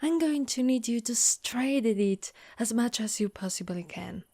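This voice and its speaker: A woman's voice: a little high, lively intonation, moderate pace, warm tone, no room sound, clean studio sound. A woman voicing a caring, wry female Dullahan, an undead knight, in a reassuring, teasing, conversational ASMR monster roleplay. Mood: caring, concerned. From Italy. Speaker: voice actress.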